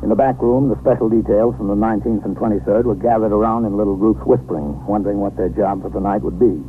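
Low-voiced American police captain played by a man. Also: fairly even intonation, lightly acted. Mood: measured. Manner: measured, terse, commanding. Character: composed, authoritative police precinct captain narrating his shift. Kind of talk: scripted first-person narration, 1950s radio drama. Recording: noisy, narrow 1950s radio recording.

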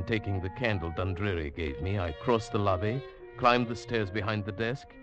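An actor playing an uneasy traveller who narrates an eerie tale in a dramatic 1940s radio drama style. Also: male voice, lowish voice, muffled recording, lightly acted. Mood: tense, fearful. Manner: dramatic, hushed swelling to agitated. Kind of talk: 1940s radio drama acting, first-person scripted narration. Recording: old radio broadcast with music.

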